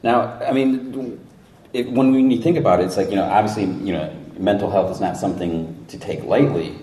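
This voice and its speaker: A man's voice: fairly low pitch, moderate pace, dark tone, far from the mic, slight background noise. A man moderating a live convention panel, asking long, winding questions. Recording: echoey room mic from afar.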